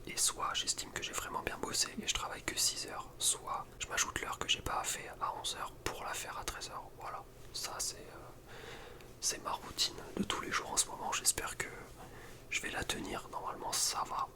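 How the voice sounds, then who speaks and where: A young man speaks entirely in a soft, breathy whisper. He chats informally in slangy, rambling phrases, in the manner of an ASMR video creator talking to viewers. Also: moderate pace. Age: young adult. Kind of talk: whispered ASMR chat about personal routine.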